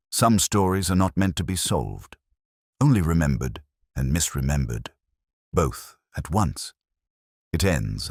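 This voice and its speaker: A man playing a melancholy, reflective police inspector, narrating an audio drama in slow, measured, solemn literary prose with deliberate pauses. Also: low voice, no room sound, clean studio sound, full character voice. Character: melancholy, reflective male police detective inspector telling his story.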